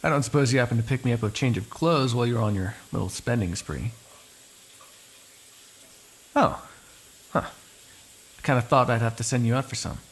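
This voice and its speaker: A cynical, menacing vampire played by a man in an intimate audio roleplay. His voice is gravelly and husky at a middling pitch. He talks in sardonic, drawling asides, with a grudging fondness under the threat. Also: a little fast, pauses often, lightly acted. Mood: exasperated, dry. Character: ageless male vampire, cynical, menacing, grudgingly fond captive. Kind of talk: ASMR roleplay, one-sided dramatic dialogue.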